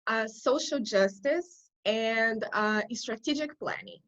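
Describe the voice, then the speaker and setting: A woman's voice: a little high, slow pace, a bit bright, highs cut off. A woman from Brazil whose first language is Portuguese, speaking English with a Brazilian accent as a guest in an interview. She talks earnestly and often restarts her phrases while she thinks. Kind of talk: conversational interview.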